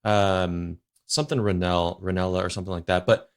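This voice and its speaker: A young male podcast host with a slightly low voice talks loosely and rambles. He leans on "you know" and "like" as he works toward his questions. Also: fairly even intonation, fairly quick, clear, resonant tone. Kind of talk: casual interview chat.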